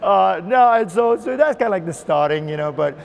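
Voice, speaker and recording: Swim coach with a gravelly voice. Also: male voice, high-pitched, lively intonation, brisk. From Indonesia (Bali). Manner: rambling, many fillers and restarts. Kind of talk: casual interview.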